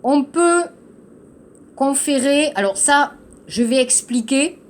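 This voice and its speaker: A woman teaching to learners, explaining a text slowly and patiently, repeating key phrases and checking understanding. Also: sits high, slight background noise. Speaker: French teacher. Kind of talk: slow classroom explanation to learners.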